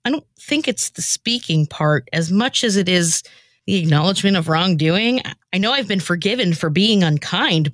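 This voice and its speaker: A woman's voice: fairly low pitch, dry recording, clean studio sound, natural voice. A woman playing a nervous, repentant woman in an amateur comic radio play, hesitant, slangy and self-deprecating. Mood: anxious, reluctant.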